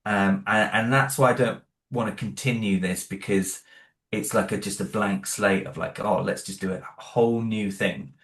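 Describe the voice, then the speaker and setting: A man with a lowish voice talks through his plans in a loose, halting way, circling back and restarting his sentences. He is heard in a noticeably echoey room. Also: a bit bright. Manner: hesitant, many restarts and fillers. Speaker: guest, horror audio drama creator. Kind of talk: casual interview answers.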